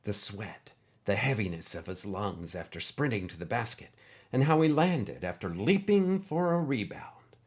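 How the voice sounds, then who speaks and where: An expressive male storyteller with a fairly low voice that moves widely up and down as he reads a scripted tale in an animated manner. He shifts his delivery to suit the characters. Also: normal speed, breathy edge. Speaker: storyteller and show host.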